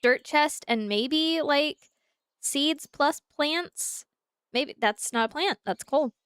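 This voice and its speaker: Woman streamer, high voice, rambling chat, slightly nasal from a cold, dry desk mic. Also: slow pace, fairly bright tone, no room sound. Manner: chatty, rambling, talks to animals and chat. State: slightly nasal from congested sinuses. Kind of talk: casual live gameplay chat. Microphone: desk mic at a computer.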